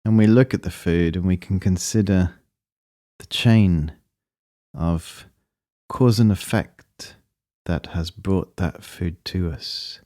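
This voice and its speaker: A man leading a guided meditation, speaking in a calm, measured and gently instructing manner. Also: deep voice, no room sound, clean studio sound.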